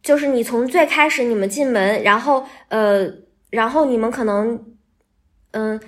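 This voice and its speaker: A woman with a bright, sweet voice, explaining things step by step in a casual podcast interview as a voice actor guest. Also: on the high side, measured pace.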